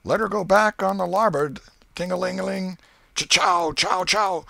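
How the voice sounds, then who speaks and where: A man's voice: sits high, unhurried, creaky, sharp tone, no room sound, voice pushed up, heavily acted. A man voicing a boisterous storybook boy who plays steamboat captain, barking orders and imitating bells and engine noises. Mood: commanding, excited. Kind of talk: storybook character voice in an audiobook.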